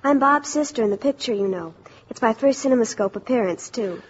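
A young actress speaking as a guest in a scripted 1950s radio interview. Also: female voice, a little high, expressive, a little fast, breathy edge. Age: young adult. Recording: dull 1950s radio recording.

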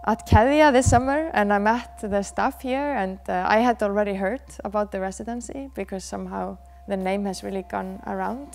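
A soft-spoken Icelandic dancer in her thirties, answering interview questions thoughtfully with many pauses, in a dark dance studio. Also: medium pitch, creaky. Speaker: dancer and choreographer.

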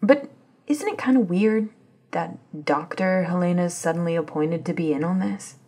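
Woman acting an anxious, very breathy scientist. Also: fairly low pitch, mellow. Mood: anxious, hesitant. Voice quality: breathy, husky. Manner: halting, searching for words. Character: anxious, hesitant, self-doubting woman scientist. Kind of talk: ASMR audio drama acting.

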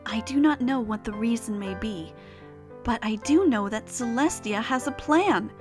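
A woman playing a devout, protective pony mare who captains the guard, speaking with earnest, solemn care in an animated fan drama. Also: fairly high pitch, normal speed, over music, close to an everyday voice. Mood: earnest conviction.

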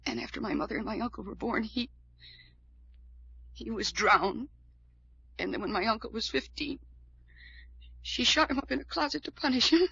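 An actress playing a distraught young woman convinced she is doomed, speaking in a halting, melodramatic way in an old-time radio drama. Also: on the high side, gravelly, sharp tone, husky, exaggerated voice. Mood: grieving, halting. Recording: dull vintage radio recording.

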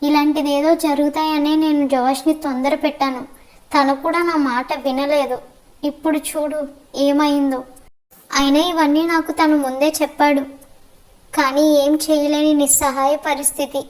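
A woman's voice: sits high, flat delivery, normal speed, close to an everyday voice, low-quality recording. A woman playing a worried, chiding mother of a grown daughter in a web series drama, fretful and reproving.

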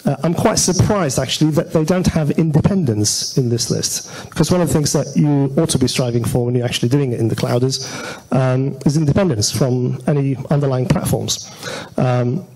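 Guy doing a casual tech talk at a meetup, mid pitch, lots of gravelly fry, kinda halting and chatty. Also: dark tone, low-quality recording. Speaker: conference speaker. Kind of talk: informal technical presentation. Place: auditorium stage at a meetup.

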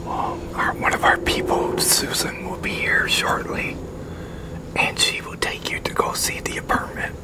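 Whispering older man, slow and halting. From United States. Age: 60s. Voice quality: breathy whisper. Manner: slow, halting, informal delivery. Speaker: ASMR roleplay creator. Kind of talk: whispered ASMR roleplay. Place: open-sided concrete park picnic pavilion.

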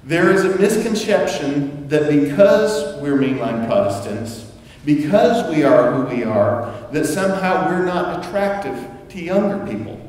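A middle-aged man preaches in an easygoing, conversational manner, breaking off for asides and starting sentences over. His slightly high voice is picked up from a distance. The sanctuary's long, booming reverb surrounds every word. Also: expressive, measured pace, a little mellow. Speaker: pastor. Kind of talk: sermon. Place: church sanctuary. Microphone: far camera, room sound. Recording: echoey church hall from far off.

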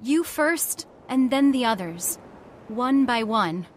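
An actress playing an earnest, emotional young heiress with frightened idealism in a radio horror drama. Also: female voice, fairly high pitch, expressive, slow pace, slight background noise. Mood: earnest, resolute.

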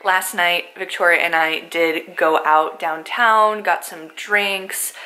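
A woman in her twenties, a native Polish speaker, chatting casually to a handheld camera in a self-mocking vlog style, voice a little hoarse. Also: lowish voice, fairly even intonation, takes it slowly, sharp tone, rough recording. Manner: chatty, self-mocking, sprinkled with likes and ums. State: slightly hoarse. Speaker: vlogger. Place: apartment living room, car and store. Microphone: handheld camera close up.

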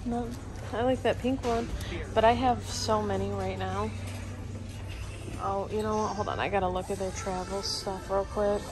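A woman's voice: mid-range voice, steady tone, normal speed, rough recording. A young woman vlogging while she shops, chatting and thinking aloud about items on the shelves. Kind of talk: casual shopping vlog chat. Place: large retail store, indoors. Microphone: handheld phone camera. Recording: store music playing in background. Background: store music overhead.

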